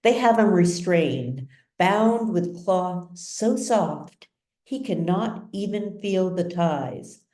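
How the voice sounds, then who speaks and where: Female story narrator: very unhurried, measured and dramatic, slightly low, recorded in a roomy space. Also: lively intonation, dark tone. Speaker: author reading own novel. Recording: roomy echo, distant mic.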